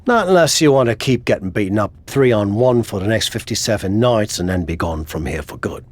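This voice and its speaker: A man's voice: on the low side, animated, a little fast. A man playing a frank, supportive friend and adviser in a wrestling video game, speaking earnestly and conversationally. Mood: earnest, urging.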